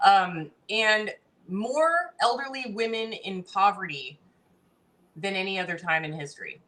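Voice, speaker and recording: Forceful, blunt woman in her forties. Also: medium pitch, expressive, measured pace, rough recording. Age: 40s. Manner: emphatic, blunt, lecturing with repetition. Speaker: interview guest. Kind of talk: casual interview.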